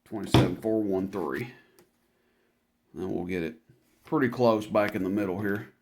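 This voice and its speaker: A man's voice: fairly low pitch, normal speed, darkish tone, slight background noise. A man giving a casual product demonstration as a seller, talking informally and rambling through each step as he goes.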